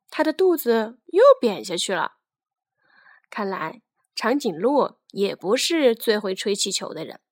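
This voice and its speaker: A woman with a fairly high voice tells a fairy tale for children. Her reading is expressive and scripted, and the recording is close and dry. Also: no room sound. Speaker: children's storyteller.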